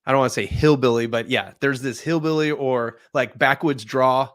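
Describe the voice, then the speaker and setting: A man from the US West Coast, a guest in a casual interview, easygoing and quick to laugh. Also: medium pitch, fairly bright tone, no echo, studio quality.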